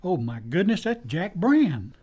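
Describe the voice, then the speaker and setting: A man's voice: sits high, very animated, measured pace, a little mellow, heavily acted. A man with an American accent playing a folksy, deferential frontier lawman's helper in a western radio drama, with a drawling country way of talking. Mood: curious, eager.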